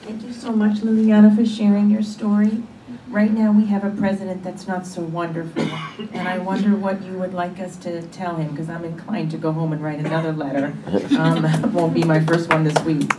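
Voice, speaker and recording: Woman, audience question, earnest and wry, lower voice, distant mic, noisy. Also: flat delivery, a little fast. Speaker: audience member. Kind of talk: asking a question at a public talk. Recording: echoey, distant with noise.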